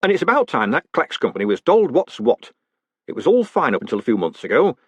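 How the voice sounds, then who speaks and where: A man's voice: mid-range voice, huge pitch swings, fairly quick, slightly rough, dry recording. A man voicing a short, bird-like small-town mayor in an audiobook, nervous and eager, with folksy, deferential, colloquial talk. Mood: chummy, eager.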